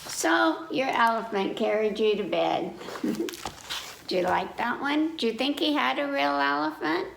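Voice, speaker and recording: Female children's story-time reader, slow and creaky-voiced, talks simply and directly to young listeners, recorded in a roomy space. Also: on the high side, lively intonation. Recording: roomy echo, faint background noise.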